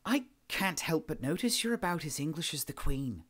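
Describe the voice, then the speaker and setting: Man voicing an informal woman adventurer, raised pitch. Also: sing-song, moderate pace, fairly bright tone. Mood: wry, questioning. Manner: informal, plain-spoken.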